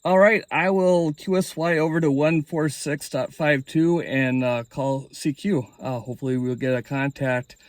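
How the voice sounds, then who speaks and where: A man's voice: fairly high pitch, normal speed, hardly any pauses, dry recording. A man speaking casually as an amateur radio operator hosting a hobby video. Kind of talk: casual hobby vlog talk and radio calling.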